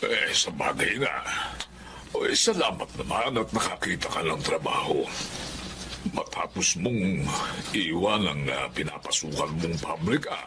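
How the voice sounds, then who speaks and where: A man's voice: deep voice, animated, a little slow. A man playing a concerned old grandfather with hesitant, interjecting speech in a Filipino radio drama. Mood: relenting, relieved. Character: old grandfather, concerned, questioning, soon relenting. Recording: noisy background.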